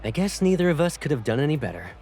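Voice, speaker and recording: A man's voice: on the high side, sing-song, quick pace, a little mellow. A man voicing a teasing, devoted young captain and sweetheart in a romantic game fan dub. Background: music.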